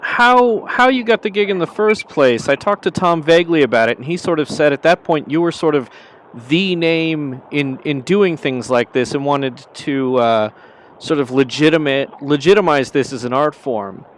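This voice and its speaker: A man interviewing a guest, asking his questions in a hesitant, halting way. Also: a little high, a little hiss or hum.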